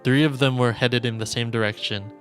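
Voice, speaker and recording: Measured male storyteller over game music. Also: mid-range voice, fairly even intonation. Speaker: streamer reading a game aloud. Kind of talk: dramatic narration of visual novel text.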